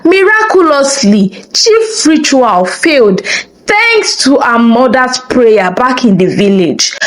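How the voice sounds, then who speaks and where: A woman narrating a scripted folktale in a dramatic, expressive and deliberate storytelling style. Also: sits high, low-quality recording.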